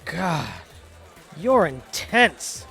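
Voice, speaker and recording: A man playing an uneasy, hesitant law-firm employee in an audio drama, speaking haltingly. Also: high-pitched, sing-song, gravelly, fairly bright tone, faint music behind, exaggerated voice. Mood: wary. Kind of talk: audio theatre acting.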